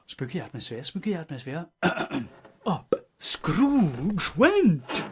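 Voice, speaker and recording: A man's voice: sits high, slight background noise. A British man narrating a comic parody audiobook in a hammy, mock-spooky storytelling style, with muttered asides.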